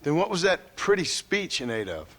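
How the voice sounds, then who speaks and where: A man acting as a weary, wary outlaw gunslinger in a western video game, talking in a gruff, dry, sceptical way. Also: on the high side, very animated, normal speed, fairly bright tone, heavily acted. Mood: resigned, sceptical.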